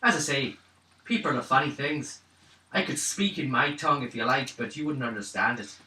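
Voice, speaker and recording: A man's voice: mid-range voice, normal speed, bright, forceful tone. A man voicing a wry, knowing fantasy stranger who muses in riddles in a tabletop game. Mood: wry, cryptic. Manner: cryptic, musing. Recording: roomy far-off tabletop recording, faint noise.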